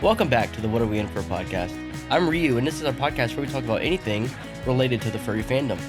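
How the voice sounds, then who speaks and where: A man's voice: medium pitch, animated, fast. Man, podcast co-host, congested, nasal, joking, casual chat, faint music behind. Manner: joking, laughs at his own puns.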